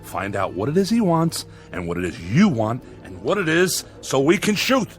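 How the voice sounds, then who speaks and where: An impatient American director acted by a man bosses the set in a mid-pitched voice. He is emphatic and exasperated, his pitch leaping around. It plays as radio drama with light music. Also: sing-song, moderate pace, close to an everyday voice. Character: exasperated, bossy TV Western director.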